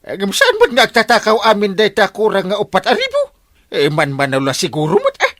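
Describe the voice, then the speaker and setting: An actor with an Ilocano accent playing a flustered, whining village captain in a comic radio drama, stammering and wailing in repeated exclamations. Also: male voice, high voice, huge pitch swings, fairly quick, a bit bright, slight background noise, full character voice. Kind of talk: broad comedy radio drama acting.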